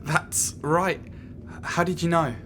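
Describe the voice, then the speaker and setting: An actor playing an earnest, nervous young amateur ghost hunter in an audio drama, speaking hesitantly and questioningly. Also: male voice, a little high, steady tone, takes it slowly, sharp tone, a little hiss or hum. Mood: startled, curious.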